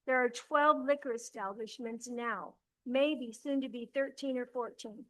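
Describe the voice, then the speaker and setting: An older woman speaks at a council meeting podium. Her voice sits a little high, and she talks earnestly, often halting and going back to correct herself. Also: a bit bright. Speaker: resident giving public comment. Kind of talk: public comment at a council meeting. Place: council chamber.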